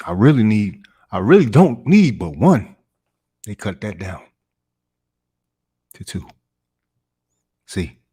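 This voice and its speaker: A man's voice: fairly low pitch, darkish tone, breathy edge, exaggerated voice. A man performing a stage monologue as an embittered, defiant man determined to get ahead, in an emphatic, repetitive storytelling cadence.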